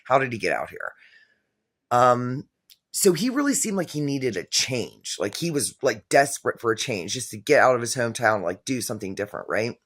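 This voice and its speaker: A middle-aged woman with a very low voice tells a personal story to camera in a casual, rambling way, full of fillers and self-corrections. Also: sing-song, normal speed. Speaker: vlogger telling her own story.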